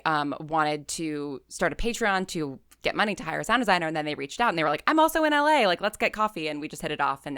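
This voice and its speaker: Bright-voiced American woman, lowish pitch. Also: smooth, dry recording, clean studio sound. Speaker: podcast guest, audio drama writer and creator. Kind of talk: casual interview conversation.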